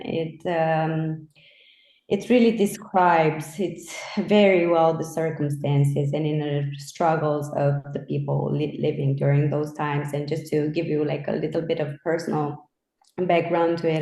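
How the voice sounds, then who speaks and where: Earnest woman, low voice. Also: dark tone. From Romania. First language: Romanian. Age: 30s. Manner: earnest, reflective. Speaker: interviewer. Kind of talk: conversational interview. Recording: roomy echo.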